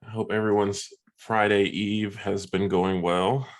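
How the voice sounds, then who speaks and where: Stuffy-voiced man, halting presenter. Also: on the low side, little pitch movement. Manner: halting, many ums and uhs. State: stuffy with a sore throat. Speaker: software ambassador presenting a webinar. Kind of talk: casual presentation.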